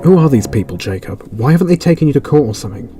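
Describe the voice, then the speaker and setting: An actor playing an earnest detective who pleads with an old friend, talking urgently and speeding up when pressed. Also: male voice, mid-range voice, expressive, very fast, music in the background, lightly acted. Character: earnest adult male detective, loyal friend.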